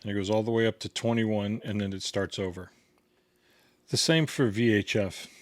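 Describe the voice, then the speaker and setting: A man's voice: fairly low pitch, fairly even intonation, normal speed, no room sound. A man giving a casual hands-on product tutorial in a plain, step-by-step instructional way. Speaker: product reviewer demonstrating a gadget.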